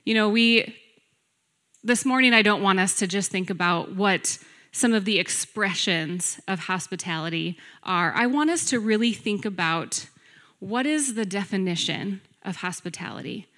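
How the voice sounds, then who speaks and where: A middle-aged woman giving a sermon as a guest preacher, earnest and deliberate, laying out lists with emphasis. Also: medium pitch, expressive, fairly bright tone. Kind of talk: sermon, personal teaching to a congregation.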